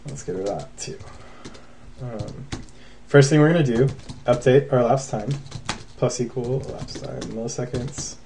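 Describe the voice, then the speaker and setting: A man's voice: medium pitch, moderate pace, pauses often, mellow, slight background noise. A man narrating a coding screencast in a casual, thinking-aloud way with many fillers. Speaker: programming tutorial host.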